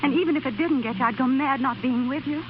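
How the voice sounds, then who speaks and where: An actress playing a devoted, anxious and stubborn wife, earnest and emotional in 1930s radio style. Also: female voice, sits high, fast, background noise, close to an everyday voice. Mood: pleading. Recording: muffled 1930s radio recording with music.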